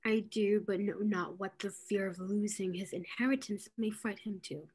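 A young woman playing an arch, quick-witted young lady of a period stage comedy, defiant about marriage. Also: mid-range voice, fairly even intonation, a little mellow, slightly rough, natural voice. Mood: anxious, defiant. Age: young adult. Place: indoors, on a video call.

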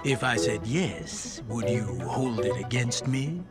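Loud music sits behind a smug little cartoon monster. He draws his words out slowly, swinging in pitch, sly and menacing. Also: lively intonation. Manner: slow, menacing, mock-polite. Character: small smug evil male cartoon monster, sly villain. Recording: loud game music over voice.

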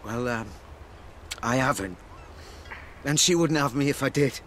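An actor playing a cocky lover, weary from driving, in a naturalistic radio drama. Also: male voice, mid-range voice, expressive, a little slow, fairly bright tone, a little hiss or hum.

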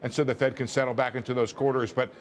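A middle-aged man with an ordinary mid-range voice, commentating on a business news program. He argues his view in a measured, conversational way. He comes in over a remote video link. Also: medium pitch, flat delivery. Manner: measured, conversational, arguing a point. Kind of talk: live TV debate, remote. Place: indoor room.

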